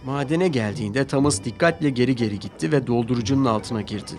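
A man narrating a children's cartoon storybook-style, with clear and expressive delivery. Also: mid-range voice, fast. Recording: music playing behind.

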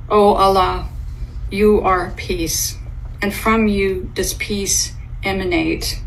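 Slow reverent woman reading a prayer. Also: mid-range voice, a little hiss or hum, rough recording.

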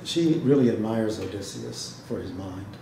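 Low-pitched man, measured, in an echoey room. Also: gravelly, mellow. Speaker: translator giving a reading. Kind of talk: dramatic literary reading and talk to an audience. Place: indoor hall, seated before an audience. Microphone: microphone on a stand, recorded by a distant camera. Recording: echoey room, noisy background.

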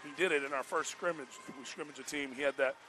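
A man's voice: a little high, fairly even intonation, fast talker, bright, forceful tone, rough recording. Emphatic American male basketball coach. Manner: emphatic, repetitive, colloquial. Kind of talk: casual post-game interview. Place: indoor basketball arena, courtside. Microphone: headset.

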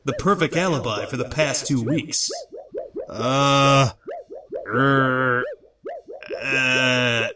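A man playing a huge, dim, self-important superhero tick in a comic-book audio drama, pompous, melodramatic and halting when cornered. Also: medium pitch, noisy background. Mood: grandiose, flustered. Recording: music behind the voice.

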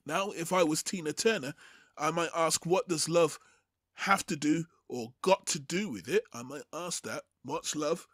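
Higher-voiced man teaching the Bible deliberately. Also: a bit bright, dry recording, studio quality. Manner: deliberate, emphatic, phrase-by-phrase delivery with rhetorical questions. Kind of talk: sermon-like Bible teaching over slides.

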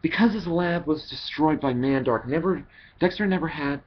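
Halting young man on a dull, noisy headset. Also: on the high side. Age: young adult. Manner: halting delivery with repeated words. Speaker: amateur voice actor. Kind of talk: reading scripted fandub lines. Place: wood-panelled living room at home. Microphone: headset microphone. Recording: dull headset audio with background hiss.

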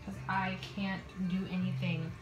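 Young woman vlogging casually over loud music. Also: fairly low pitch, flat delivery, unhurried, highs cut off. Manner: informal, self-deprecating. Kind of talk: casual chat to camera while cooking. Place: home kitchen, indoors.

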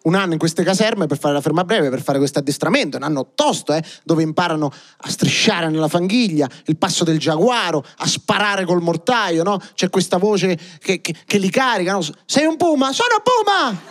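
A man in his thirties performing an animated stand-up set on a club stage into a handheld mic. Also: fairly high pitch, brisk, few pauses. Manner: animated, acts out scenes with gestures. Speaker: stand-up comedian. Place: comedy club stage with small audience. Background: audience laughter.

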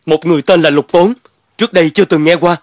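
A man's voice: on the high side, fast talker, dry recording, studio quality. A man playing a dutiful young warrior son in a cartoon dub, respectful and formal. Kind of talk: dubbed animated historical drama.

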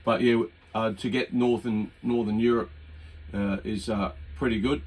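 Low-voiced older Australian man, casual. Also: flat delivery, measured pace, darkish tone. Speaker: radio hobbyist presenting his own video. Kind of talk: casual unscripted commentary. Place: indoors at a home radio desk. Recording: noisy room with radio static. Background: CB radio hiss and static.

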